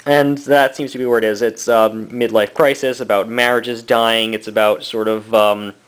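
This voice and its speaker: A man in his thirties giving a casual, rambling review, halting often with fillers and short laughs. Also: on the low side, level tone, smooth. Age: 30s. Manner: laughs between thoughts. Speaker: book and comic reviewer.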